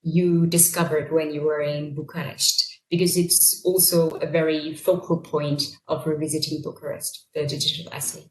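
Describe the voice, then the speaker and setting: A woman's voice: deep voice, flat delivery, moderate pace, hardly any pauses, echoey room, poor audio. A woman interviewing a guest, putting a short prompting question to him.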